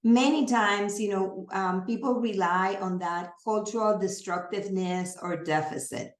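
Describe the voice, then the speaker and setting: A woman's voice: medium pitch, unhurried. A woman with a Spanish accent, a native Spanish speaker, giving an earnest training presentation on serving families, explaining with everyday examples. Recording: roomy echo.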